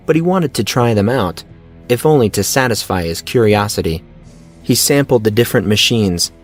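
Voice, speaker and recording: A man with a fairly low voice narrates a scripted story. He tells it as the narrator of an audio drama, with faint music underneath.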